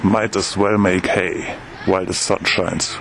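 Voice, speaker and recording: Man playing a gravelly, deep-voiced shrewd customer. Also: flat delivery, unhurried, a bit bright, heavily acted, rough recording. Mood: coolly persuasive. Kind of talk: audio drama dialogue. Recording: faint background noise and ambience.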